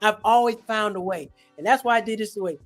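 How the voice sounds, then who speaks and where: A comedian hosting a casual livestream chat, telling stories in an animated, slangy way. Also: medium pitch, brisk, soft background music. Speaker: host and comedian.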